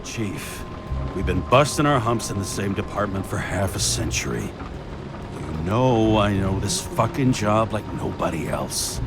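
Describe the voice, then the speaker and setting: An actor playing a world-weary, stubborn veteran detective in a noir video game, sardonic, gruff and foul-mouthed. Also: male voice, lowish voice, very animated, measured pace. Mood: pleading, wary. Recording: loud music behind voice.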